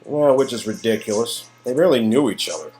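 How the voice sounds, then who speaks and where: A man's voice: mid-range voice, expressive, brisk, darkish tone, faint music behind, close to an everyday voice, low-quality recording. A man playing skeptical, sardonic friends in an amateur reading of game dialogue, exclaiming and sometimes hesitant. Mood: concerned.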